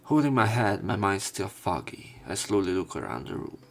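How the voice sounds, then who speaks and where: A man's voice: lowish voice, sing-song, normal speed, vocal fry, a bit bright. A man hosting a let's play, narrating a visual novel aloud and adding casual commentary.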